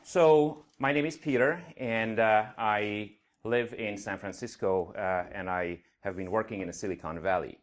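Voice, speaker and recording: A man's voice: lowish voice, a little slow, few pauses, a little hiss or hum. A middle-aged man from Hungary with a slight Hungarian accent, giving an earnest conference talk with frequent fillers. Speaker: conference speaker. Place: conference venue stage.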